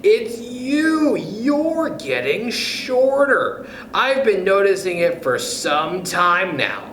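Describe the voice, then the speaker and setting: A man voicing a gleefully cruel, scheming husband from a children's storybook, taunting with drawn-out emphasis. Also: high voice, sing-song, fairly bright tone, echoey room, slight background noise, voice pushed up, heavily acted, rough recording. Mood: mocking.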